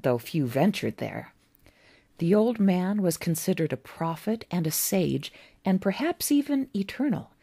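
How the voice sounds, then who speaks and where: A woman narrating a story as its author, in a measured, deliberate storytelling manner with pauses between phrases. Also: on the low side, creaky, a little mellow, breathy edge, no echo. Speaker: author reading own story.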